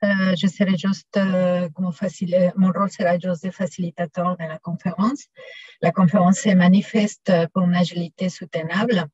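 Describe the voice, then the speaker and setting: A woman's voice: fairly low pitch, little pitch movement, moderate pace, darkish tone, slightly rough, no echo. A woman with a Mexican accent introducing an online conference session as facilitator, hesitant and full of fillers and restarts. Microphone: video call. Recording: dull dry lo-fi video call audio.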